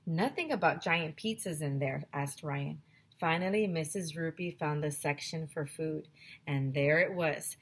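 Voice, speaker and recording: A woman in her thirties, a teacher on a headset mic, reading a chapter book aloud to children with lively, expressive storytelling. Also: low-pitched, measured pace. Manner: expressive storytelling, addresses kids directly. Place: small home room with classroom decorations.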